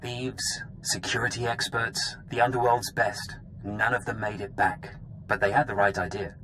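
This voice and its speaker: A grave intelligence official in a video game. He speaks in a measured, fairly low voice, with noticeable noise behind him. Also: fairly even intonation, sharp tone, poor audio. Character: grave male intelligence official briefing an agent. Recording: clear background noise.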